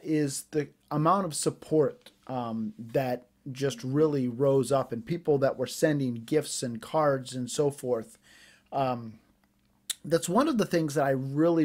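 A man's voice: a little high, a little mellow. A middle-aged man hosting a solo podcast, chatting in a rambling, folksy way with plenty of ums and uhs. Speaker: podcast host. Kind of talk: casual solo podcast chat.

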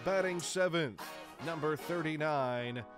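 American man, baseball PA announcer, slow deliberate pacing, showman delivery, drawn-out names, music in the background. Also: a little high. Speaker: baseball stadium public address announcer. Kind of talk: scripted sports PA announcing.

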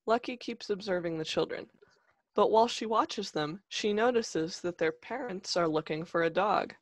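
A woman narrates a children's play from a script, setting out the stage directions. Her pitch is mid-range and the sound is dry, with very little room in it. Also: normal speed, dry recording.

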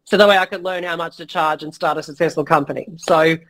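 A man guesting on a business interview, talking frankly and casually with many fillers and the odd swear word, his voice going a little hoarse. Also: on the high side, fairly even intonation, fast, fairly bright tone, rough recording. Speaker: guest entrepreneur.

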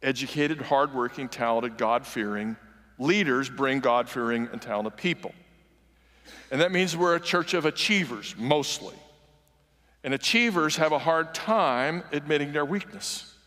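A man's voice: mid-range voice, a little slow. Older man preaching in a reverberant sanctuary. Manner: earnest, conversational preaching with animated gestures, walking the stage. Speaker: guest preacher. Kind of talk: sermon. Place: large church sanctuary. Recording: long reverb of a large church hall.

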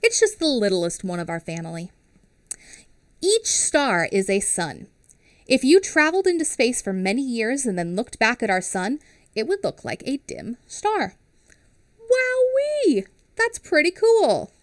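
A woman in her thirties reading a picture book aloud to children on a headset mic, pausing to explain and ask questions. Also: on the high side, dry recording, studio quality. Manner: expressive, asks children questions. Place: small home studio with classroom backdrop.

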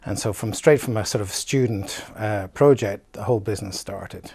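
A man's voice: on the low side, normal speed, darkish tone, husky, dry recording. A middle-aged man interviewed in an indoor listening room, recalling company history hesitantly with restarts. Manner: hesitant, with many restarts and fillers.

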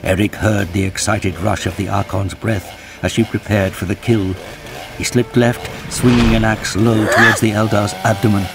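A man giving dramatic, scripted narration of an action audio drama in a grave, measured storytelling manner. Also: on the low side, little pitch movement, vocal fry, music in the background.

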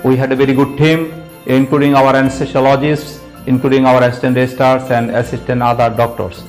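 Slow, deliberate male surgeon. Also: mid-range voice, little pitch movement, soft background music, poor audio. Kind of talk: interview statement.

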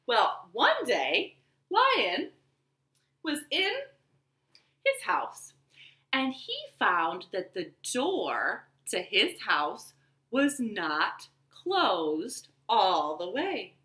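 Animated woman storyteller, bright and lively. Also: on the high side, extremely slow. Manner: animated, sing-song delivery for young children. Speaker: children's library storyteller. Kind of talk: children's storytime, rhymes and read-aloud story. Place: living room, indoors. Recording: roomy home webcam sound.

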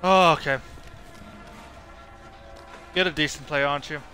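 A man's voice: on the high side, fairly even intonation, unhurried, pushed and bright, faint music behind. A man giving casual live commentary as he streams a game, muttering mildly frustrated asides to himself. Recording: clear game noise behind voice. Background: horror game sound effects and ambience.

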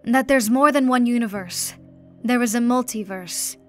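Defiant chained vampire duke, voiced by a woman, shouting, scornful, storybook reading. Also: fairly high pitch, moderate pace, soft background music, lightly acted. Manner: loud, shouting, scornful laughter.

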